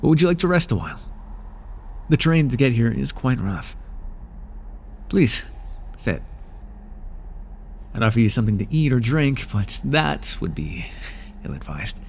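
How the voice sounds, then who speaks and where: A man voicing a proud, weary male god of the underworld in an ASMR roleplay, with a dry, aloof manner and a quiet curiosity. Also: mid-range voice, very animated, measured pace, pauses often, a little hiss or hum. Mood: curious.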